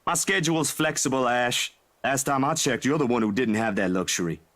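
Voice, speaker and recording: A man's voice: mid-range voice, brisk, fairly bright tone, lightly acted. A man acting a laid-back, caring young man in a visual novel, speaking casually and a little hesitantly. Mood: teasing.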